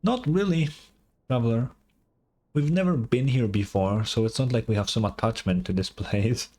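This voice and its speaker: A man's voice: medium pitch, moderate pace, dark tone. A man giving casual live reaction commentary as a game streamer, blunt, skeptical and sweary.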